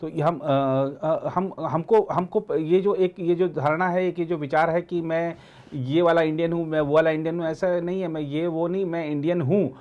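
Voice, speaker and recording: Male political commentator, voice a bit high, halting delivery with repeated phrases. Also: fairly even intonation, lo-fi sound. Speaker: news editor and commentator. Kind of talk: political discussion.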